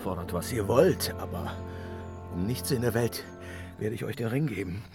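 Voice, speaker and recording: An actor playing a proud, stubborn ruler of the gods in a German fantasy radio play, grave and commanding, with a husky voice. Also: male voice, on the low side, animated, normal speed, over music. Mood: defiant.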